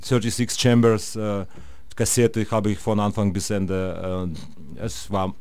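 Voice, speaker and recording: A man's voice: on the low side, level tone, a little slow, darkish tone, smooth, dry recording. Man, rambling interview guest. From Russia. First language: Russian. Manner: rambling, many fillers and restarts. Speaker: guest musician. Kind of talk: casual interview.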